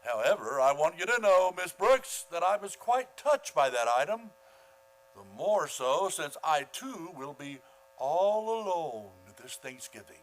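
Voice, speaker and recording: A fussy school principal in an old-time radio comedy, played by a man. He is pompous and falsely gracious, talking in formal, halting phrases in a somewhat high voice. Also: sing-song, fairly bright tone, rough recording. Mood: self-pitying, pompous. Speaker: actor in a community radio play.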